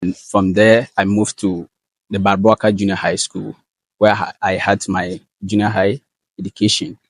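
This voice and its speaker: A young man from northern Ghana with a Ghanaian accent, answering interview questions in an earnest, measured way. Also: on the low side, little pitch movement, husky, no room sound. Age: young adult. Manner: earnest and measured, with frequent fillers. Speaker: guest, valedictorian student.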